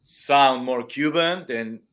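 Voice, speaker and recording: A man with a non-native English accent, talking from a room over a video call. He explains at an average pitch, reaching for examples and restarting his phrases. He sounds like a teacher chatting with a host. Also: slow, a bit bright. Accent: non-native English speaker. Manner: explains with everyday comparisons, many restarts. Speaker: dance and music teacher; guest. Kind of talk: casual live-stream chat. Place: room at home with cameras.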